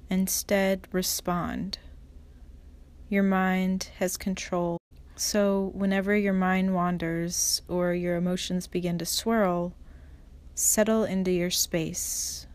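A woman leading a guided meditation as an instructor, speaking in a calm, measured, soft way with pauses. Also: fairly low pitch.

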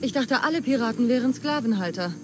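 A woman's voice: on the high side, expressive, fast, over music, close to an everyday voice. A woman voicing a determined young mercenary in a video game, earnest and direct. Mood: direct, resolute. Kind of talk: video game cutscene dialogue. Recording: clear outdoor ambience behind.

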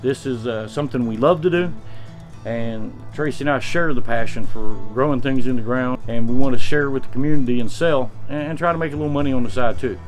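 A man's voice: medium pitch, fairly quick, soft background music. Plainspoken older man filming his greenhouse. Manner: plainspoken, chatty, explains step by step. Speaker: homesteading vlogger. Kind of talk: casual to-camera vlog. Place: outdoors in a roofless greenhouse frame on a windy day.

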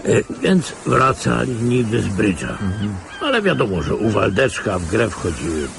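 A man's voice: on the low side, very animated, unhurried, creaky, background noise, heavily acted. A man playing a world-weary, cynical old retired security officer in a radio play, sardonic and patronising. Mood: recounting, sardonic. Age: older. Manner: sardonic, patronising, chuckling asides. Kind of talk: Polish radio play acting.

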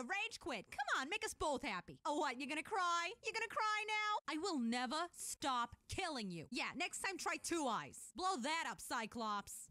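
A woman's voice: high-pitched, pushed and bright, no room sound, clean studio sound, exaggerated voice. An actress voicing a cocky, brash young female mercenary scout, throwing slangy, mocking taunts as video game voice lines. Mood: gleeful taunting, then smug, scornful. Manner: mocking, slangy, streetwise trash talk.

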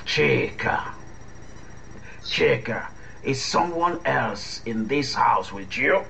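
An actor playing a fatherly, middle-aged Nigerian uncle in a radio drama, soothing and measured, with a Nigerian accent. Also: medium pitch, expressive, creaky, pushed and bright, full character voice. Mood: suspicious. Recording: dull, faintly noisy recording.

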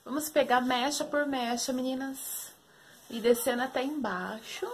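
A woman's voice: sits high, measured pace, slight background noise. A young woman from Brazil chatting casually through a hair tutorial from her bedroom, talking to the camera the whole time. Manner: chatty, says "gente" and "meninas" constantly. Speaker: beauty vlogger. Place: small bedroom with shelves, indoors.

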